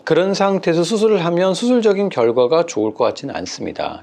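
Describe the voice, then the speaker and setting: A middle-aged man, a plastic surgeon, chatting through common patient questions in a casual, animated way and quoting his patients. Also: medium pitch. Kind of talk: clinic Q&A explainer video.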